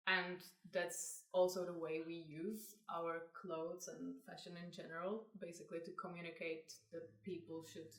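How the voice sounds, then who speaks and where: A young woman with a Czech accent speaks in a low, very even tone, measuring her words and leaning on "like" as a filler. The sound is roomy and distant, as if she sits away from the microphone. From Czech Republic. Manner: flat, measured, peppered with "like". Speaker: designer, co-founder of a clothing brand. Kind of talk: casual interview answers. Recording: echoey room, mic at a distance.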